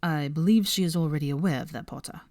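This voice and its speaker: A woman voicing a cool, concerned young man in an audiobook, quiet and restrained, with a drawl. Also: low voice, fast talker, dry recording, studio quality. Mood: cool, bemused.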